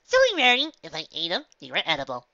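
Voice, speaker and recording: A woman voicing a bouncy, silly, excitable young female cartoon pony who gushes and argues in a story reading. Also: on the low side, fast, no room sound, highs cut off, pitched above the performer's own voice, heavily acted. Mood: playful, teasing. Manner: gushing, over-excited, argumentative.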